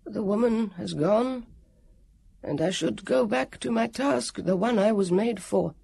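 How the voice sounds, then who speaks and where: A storybook narrator voicing a tall, skeletal male guardian who speaks slowly, sadly and apologetically. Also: medium pitch, animated, vocal fry, darkish tone, breathy edge, voice pushed up, heavily acted. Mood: mournful. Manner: slow, mournful, apologetic.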